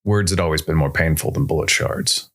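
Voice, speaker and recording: A man's voice: extremely low pitch, a little fast, a bit bright. A man reading scripted science fiction noir narration as a storyteller. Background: faint music.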